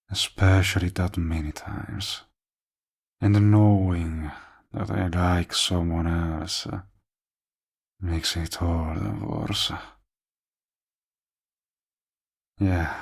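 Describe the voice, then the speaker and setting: A man playing a smug but tender villain in love, speaking intimately to the listener in a romantic audio roleplay, with a low, husky voice. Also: heavily acted. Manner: slow, murmuring, teasing. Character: lovestruck male villain, smug yet tender, persistent suitor. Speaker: voice actor.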